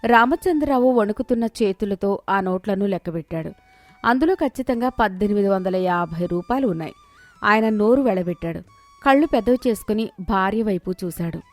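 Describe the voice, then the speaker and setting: A woman's voice: mid-range voice, lively intonation, a little fast, faint music behind. A woman narrating a scripted moral story as its storyteller.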